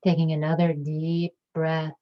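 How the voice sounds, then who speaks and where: A woman with a low voice leads a guided meditation in a calm, soothing way. Her delivery is very slow and level, with gentle phrases set apart by long pauses. The recording sounds a little dull.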